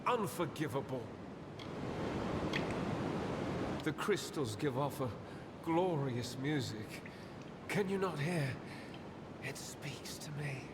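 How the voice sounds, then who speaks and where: A man acting a fervent, obsessed young acolyte in a video game, speaking with dreamy, halting reverence. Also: lively intonation. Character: obsessed young acolyte, crystal-mad killer, fervent and haunted. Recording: long cavernous reverb, loud rumbling noise.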